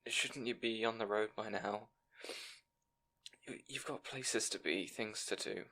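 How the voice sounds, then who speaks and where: A man's voice: lowish voice, a little slow, sharp tone, full character voice. A man playing a timid, bookish young scribe in an audio roleplay, speaking to the listener in an anxious, hesitant, stammering way. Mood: sheepish, downcast.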